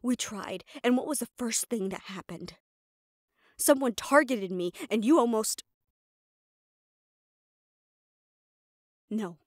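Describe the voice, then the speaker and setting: A woman's voice: medium pitch, no room sound, close to an everyday voice. Female actor, mid-range, as a mafia boss's loyal, protective assistant; audio roleplay, firm and reassuring, dry close mic. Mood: upset, insistent. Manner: firm, reassuring, addressing the listener directly. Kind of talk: intimate audio roleplay acting.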